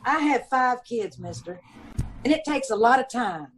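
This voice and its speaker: A woman's voice: on the high side, animated, normal speed, lightly acted. A woman playing a gossipy, aggrieved middle-aged landlady and mother of five, talking folksy and ungrammatical in old-time radio style. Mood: indignant. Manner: folksy ungrammatical talk, indignant and self-pitying. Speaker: witness in radio police drama.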